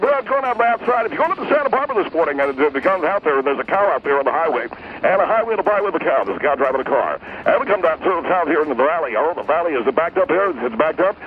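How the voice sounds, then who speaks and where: A man's voice: a little high, sing-song, soft background music. A man doing a goofy traffic reporter bit on morning radio. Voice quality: fast, goofy, jokey patter. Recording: muffled lo-fi line with noise.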